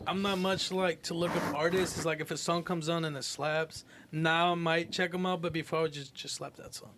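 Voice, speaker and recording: A man's voice: a little high, steady tone, fairly quick, noisy background. A man chatting casually on a podcast in slangy, profane, unpretentious speech. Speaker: podcast panelist. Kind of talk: casual group chat.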